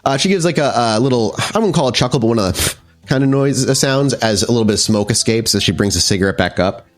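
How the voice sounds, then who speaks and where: A man's voice: mid-range voice, animated, fast. A man working as game master, improvising descriptive, conversational narration for a tabletop roleplaying game.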